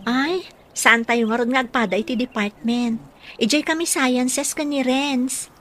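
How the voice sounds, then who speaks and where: A friendly, easygoing college girl, played by a woman in a radio drama. She speaks informally in a high voice. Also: fairly even intonation, measured pace, a bit bright. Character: friendly, informal young female university student.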